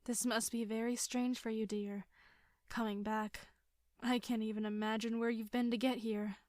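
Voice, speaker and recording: An actress playing a doting, gentle middle-aged mother in an audio drama, solicitous and gracious. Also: on the high side, flat delivery, moderate pace, no room sound, studio quality, lightly acted. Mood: concerned, soothing.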